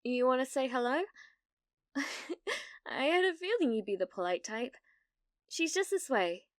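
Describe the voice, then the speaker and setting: Woman acting a needy delusional gamer girl, British accent, clear smooth voice, very dry close sound, hesitant stammer, nervously chirpy, ASMR roleplay. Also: high voice, lively intonation, measured pace, no room sound. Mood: casual, chatty. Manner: hesitant, stammering, nervous giggles, blithely casual about dark things.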